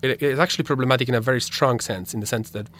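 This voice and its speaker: A man's voice: mid-range voice, rapid-fire, a bit bright, a little hiss or hum. Italian-accented young man explaining ideas. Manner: thoughtful, many restarts and fillers. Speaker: philosophy graduate student guest. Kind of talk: explaining research in a radio interview.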